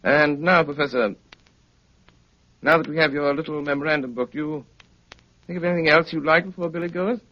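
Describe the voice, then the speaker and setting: An actor playing a cool, sardonic, commanding gentleman detective in a 1930s radio drama, speaking with clipped, dryly polite authority. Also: on the high side, fairly even intonation, a little fast, pauses often, close to an everyday voice. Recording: muffled, noisy 1930s radio recording.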